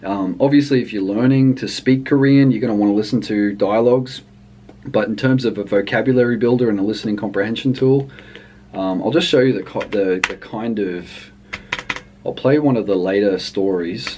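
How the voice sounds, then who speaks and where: A man's voice: fairly low pitch, moderate pace, warm tone, slight background noise. A man giving a casual, unscripted review in a hesitant, rambling way, often trailing off mid-thought. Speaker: language book reviewer.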